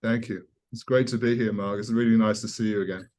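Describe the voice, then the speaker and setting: An older man from South Wales with a softened British accent, speaking as a guest scientist in a conversational, halting, informal way. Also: on the low side, fairly even intonation. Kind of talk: conversational interview, personal recollection.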